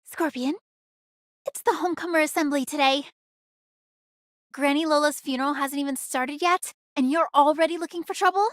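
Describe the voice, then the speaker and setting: A woman's voice: high-pitched, normal speed, long pauses, a bit bright, no echo, studio quality. A woman voicing a feisty, indignant young woman in an anime video game, speaking in an emphatic, scolding way. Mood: reproachful.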